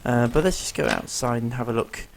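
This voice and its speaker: A man with a tired, rough-edged voice that is clearly not at full strength, talking a viewer through a survival game at an easy, unhurried pace. His voice sits at an ordinary middle pitch. There is a steady, clearly audible noise in the background of this home recording. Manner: easygoing, explains as he plays, many ums and uhs. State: strained, failing voice. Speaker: gameplay commentator. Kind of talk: casual let's-play commentary.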